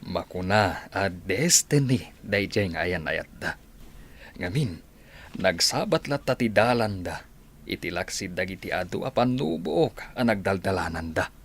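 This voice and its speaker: A man's voice: low voice, lively intonation, measured pace, vocal fry, husky, exaggerated voice. A man with a Filipino accent playing an easygoing male friend in an audio drama. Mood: warm, reflective. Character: Tindo, easygoing male friend of an older groom.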